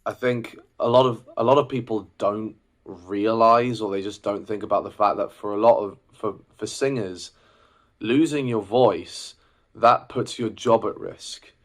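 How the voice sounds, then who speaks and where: Low-voiced young man venting. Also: a little slow. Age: 20s. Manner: reflective, rambling with restarts and fillers. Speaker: singer and vlogger. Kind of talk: casual personal vlog.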